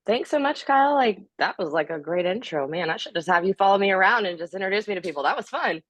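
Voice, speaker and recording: A woman in her thirties, slightly hoarse, giving an upbeat, chatty talk as a guest speaker on a team video call. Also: medium pitch, expressive, quick pace, fairly bright tone, no echo. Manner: upbeat, chatty, many fillers. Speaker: guest speaker, top sales producer.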